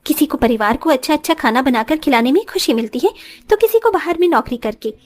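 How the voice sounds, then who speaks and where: A woman acts a poor young village bride in a moral cartoon. She speaks in a high, melodic voice, respectful and dutiful, with a humble temper. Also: animated, fast. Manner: polite, respectful. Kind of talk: moral story cartoon acting.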